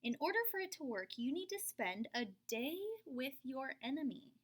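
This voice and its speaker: Woman reading as a storybook dad, secretive, knowing, hushed and confiding, fairly high voice. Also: big pitch swings, normal speed. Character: secretive, knowing middle-aged father.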